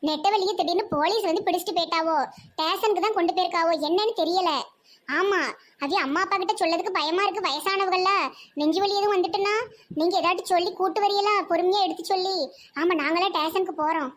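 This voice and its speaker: A woman's voice: extremely high pitch, steady tone, fast talker, fairly bright tone. A woman with a Tirunelveli Tamil accent voicing a fussing, teasing village neighbour in a comedy cartoon. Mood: worried.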